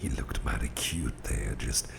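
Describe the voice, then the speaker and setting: A man playing a gruff but tender, protective boss in an ASMR roleplay, murmuring soothingly in a gravelly, breathy half-whisper. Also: very deep voice, lively intonation, exaggerated voice. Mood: tender, reassuring. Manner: soothing, unhurried, folksy, murmured. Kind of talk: ASMR roleplay, intimate personal attention.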